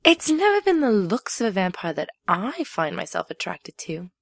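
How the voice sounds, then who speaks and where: A woman's voice: medium pitch, big pitch swings, normal speed, no room sound. Woman playing a teasing, sinister villainess, close and dry. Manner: teasing, theatrical, dropping into breathy murmurs. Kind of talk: fan audio drama acting.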